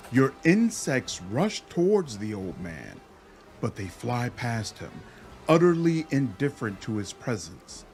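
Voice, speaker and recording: A man narrates a fantasy game in a theatrical, deliberate style. His pace is slow and his pitch moves widely. Faint music plays behind the voice. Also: a little mellow. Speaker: gaming video narrator.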